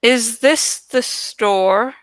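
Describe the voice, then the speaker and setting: Storybook role, woman narrator: unhinged elderly female hoarder, lost and suspicious, high-pitched, deliberate delivery. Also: smooth, no room sound. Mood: lost, confused. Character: crazed, lost old woman hoarding things in a shack. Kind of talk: audiobook character acting.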